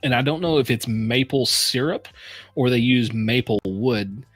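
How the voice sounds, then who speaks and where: American man, mid-pitched voice, casual rambling chat, laughs easily. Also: a little slow, slightly rough, no room sound, soft background music. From United States. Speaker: whiskey reviewer host.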